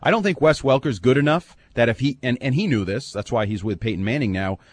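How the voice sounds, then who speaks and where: A man's voice: mid-range voice, fast, slightly rough. A man co-hosting a sports talk radio show, giving opinionated, emphatic takes in long runs. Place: radio studio.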